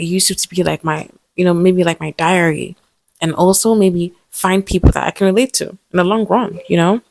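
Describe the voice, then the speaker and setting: A young woman with a lisp talks in a soft, hesitant, personal vlog style and often restarts her sentences. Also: fairly low pitch, creaky, no room sound. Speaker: vlogger.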